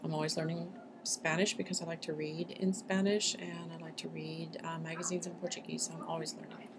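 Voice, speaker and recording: Low-voiced woman, articulate, over background noise. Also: level tone. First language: English. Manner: articulate and measured. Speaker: interviewee. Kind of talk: interview answers.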